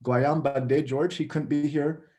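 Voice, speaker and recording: A man's voice: a little high, steady tone, slow, dark tone, soft background music. Man presenting on a video call. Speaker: travel photography company head, presenter.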